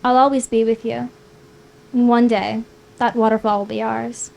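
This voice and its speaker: A woman's voice: on the high side, little pitch movement, normal speed, gravelly, darkish tone. A woman acting a playful, tender young woman in a live screenplay table read, with some noise on the recording. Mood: gently consoling.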